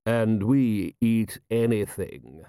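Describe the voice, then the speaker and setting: Man as a measured, cynical desert warrior. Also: lowish voice, animated, dark tone, dry recording, clean studio sound. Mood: wry. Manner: slow, measured, wry. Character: worldly, solemn, cynical desert warrior, male.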